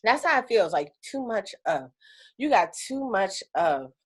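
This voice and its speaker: A woman teaching an energy healing class on a video call, chatty and animated, with lots of fillers. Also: medium pitch, a little slow, husky.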